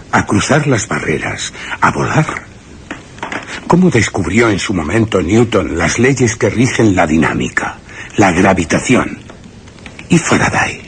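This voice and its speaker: Crazed genius with wildly swinging pitch. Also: male voice, slight background noise, exaggerated voice. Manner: grandiose, rhetorical, swinging emphasis. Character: crazed genius friend, gaunt and triumphant, lecturing obsessively. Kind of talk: Spanish radio suspense drama acting.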